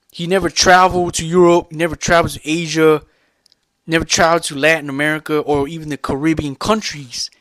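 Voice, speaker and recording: A man's voice: fairly high pitch, a bit bright, dry recording. A man ranting loosely to camera in a vlog, repeating himself and swearing for emphasis. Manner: rambling, repetitive, profane and emphatic. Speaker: vlogger. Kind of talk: unscripted rant to camera.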